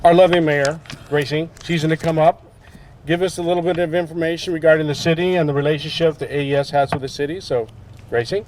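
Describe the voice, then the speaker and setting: A man's voice: a little high, fairly even intonation, fast, darkish tone, a little hiss or hum. Casual man emceeing an outdoor ceremony. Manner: casual, hesitant, with fillers. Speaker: event emcee. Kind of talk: introducing speakers at an outdoor ceremony.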